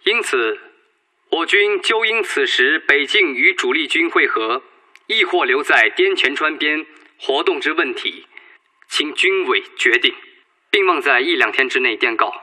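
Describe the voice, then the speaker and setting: An actor in a Chinese radio drama playing an earnest, respectful Red Army political officer with careful, emphatic enunciation. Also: male voice, a little high, animated, measured pace, pushed and bright, heavily acted, poor audio. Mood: formal, earnest. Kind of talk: Chinese radio drama acting, formal reading.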